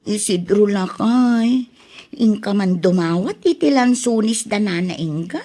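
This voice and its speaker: A woman's voice: medium pitch, lively intonation, a little slow, dark tone. A woman with an Ilocano accent playing an exasperated, pleading village wife in a radio drama. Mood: coaxing.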